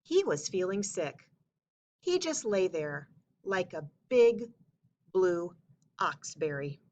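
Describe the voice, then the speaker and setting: A woman reading a children's storybook aloud as narrator, with expressive, emphatic phrasing. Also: fairly low pitch, slow, dull audio. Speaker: story reader.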